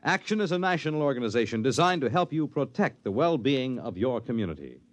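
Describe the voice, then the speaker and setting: A man's voice: mid-range voice, very animated, quick pace, dry recording. A man reading scripted announcements as a 1950s radio announcer.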